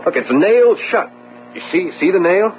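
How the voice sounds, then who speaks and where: Animated, anxious young policeman. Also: high-pitched. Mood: baffled, urgent. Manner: urgent, halting, melodramatic. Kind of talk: old-time radio drama acting. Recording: noisy, narrow-band old radio recording.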